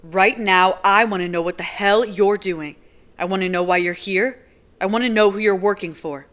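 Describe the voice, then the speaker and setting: A woman voicing a furious young man in an audiobook, loud and heated as he demands answers. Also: fairly low pitch, slow pace.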